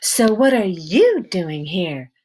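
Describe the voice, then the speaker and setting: A woman reading the part of an eccentric, sharp-tongued middle-aged woman with a wooden leg, who probes and mocks as she speaks. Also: lowish voice, very animated, slow pace, heavily acted. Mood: probing. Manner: mocking, probing, sharp-tongued.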